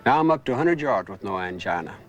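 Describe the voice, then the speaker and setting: A man's voice: lowish voice, animated, fast talker, highs cut off, close to an everyday voice. A man playing a grateful angina patient, frank and folksy, in a scripted medical dramatization. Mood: frank, relieved. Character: grateful male angina patient, blunt about surgery.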